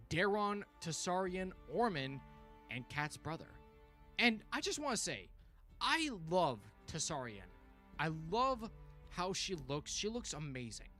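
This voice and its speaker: A man with a fairly high, bright and forceful voice hosts an informal review stream over background music. He talks like an excited fan, casual and exclamatory, with a voice that is starting to tire. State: voice tiring, frequent throat clearing. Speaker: livestream host reviewing a TV series.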